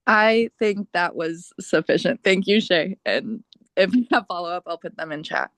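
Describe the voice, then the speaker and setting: A woman's voice: fairly low pitch, lively intonation, normal speed, a bit bright, no room sound. Young woman asking a polite question. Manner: polite, casual, fillers like "like". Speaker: audience member asking a question. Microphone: video call.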